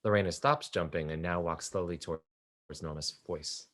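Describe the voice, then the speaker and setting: A man's voice: deep voice, moderate pace, fairly bright tone. A man narrating stage directions from a script for a play reading.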